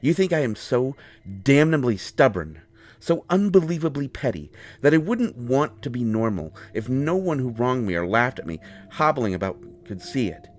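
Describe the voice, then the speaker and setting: A man playing a proud, hurt, lovestruck healer in an intimate audio roleplay, speaking earnestly and haltingly with deep feeling. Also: big pitch swings, natural voice. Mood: indignant, hurt. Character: proud, wounded, lovelorn male healer with a limp.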